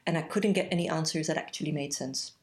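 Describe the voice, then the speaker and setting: A woman in her forties with a Belgian accent, a podcast guest speaking reflectively and pausing often. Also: sits low. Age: 40s. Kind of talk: casual interview conversation.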